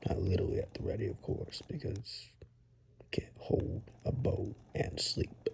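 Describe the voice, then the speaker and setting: A man playing a protective monster-hunting woodsman in an ASMR roleplay, half-whispering in a gravelly, deliberate, matter-of-fact way. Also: deep voice, fairly even intonation, a little mellow. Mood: calm, assured. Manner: slow, deliberate, matter-of-fact reassurance with pauses.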